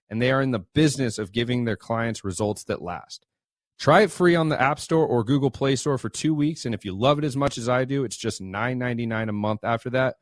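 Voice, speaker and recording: A man's voice: mid-range voice, no room sound. The host of a local interview podcast in a well-damped studio, an American man talking in an easy presenter's register. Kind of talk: casual interview and scripted sponsor read.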